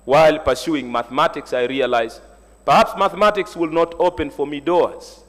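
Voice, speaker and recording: A man's voice: a little high, normal speed, a bit bright. A man from Tanzania, a Swahili speaker, giving an earnest, reflective panel speech at a university symposium. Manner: motivational, reflective, personal storytelling. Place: large university hall. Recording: echoey hall reverb.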